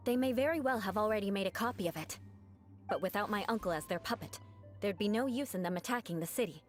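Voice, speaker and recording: An actress playing a proud, aloof young female knight who speaks in a cool, composed and dryly proud way, with soft game music behind. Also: mid-range voice, fast, close to an everyday voice. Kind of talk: video game voice acting.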